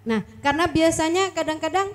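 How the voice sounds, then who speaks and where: A slightly hoarse woman from East Kalimantan, a competition judge speaking hesitantly with many fillers, giving earnest advice on a mic at an outdoor stage event. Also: very high voice, animated, fairly quick. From Kutai Kartanegara, East Kalimantan. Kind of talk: casual live-event chat on a mic.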